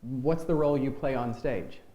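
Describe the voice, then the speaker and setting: A man hosting a chat show, speaking in a polite, measured way. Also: medium pitch, warm tone, muffled recording. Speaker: interview host. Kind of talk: hosted studio chat. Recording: echoey room.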